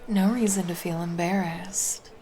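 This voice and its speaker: Woman plays flirty, caring female lifeguard for ASMR roleplay; unhurried, lowish voice, soft and reassuring. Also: fairly even intonation, lightly acted. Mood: soothing, reassuring. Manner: soft, intimate, casual, reassuring. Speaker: roleplay voice performer. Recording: background noise under the voice.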